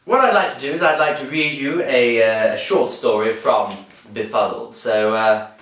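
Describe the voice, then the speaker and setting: A man's voice: mid-range voice, normal speed, a bit bright. A man reading a comic story aloud in a whimsical, mock-formal way with playful asides. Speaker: storybook reader. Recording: echoey, distant voice with music behind.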